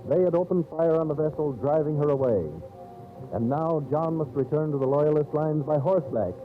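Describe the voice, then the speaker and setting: A man narrating a scripted 1930s radio drama in a solemn, theatrical, measured storyteller's manner. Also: a little high. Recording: old scratchy 1930s radio, narrow phone-like band. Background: dramatic background music.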